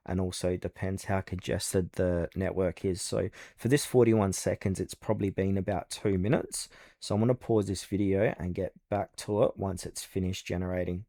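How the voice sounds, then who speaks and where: A man hosting a casual step-by-step software tutorial, narrating as he goes. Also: low voice, no echo, clean studio sound. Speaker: tutorial host.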